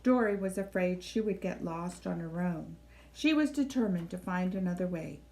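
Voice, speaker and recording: A woman reading a children's story aloud in an expressive, measured storytelling style, with deliberate phrasing. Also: lowish voice, gravelly, low-quality recording. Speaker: library storybook reader. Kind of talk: expressive scripted read-aloud narration for children.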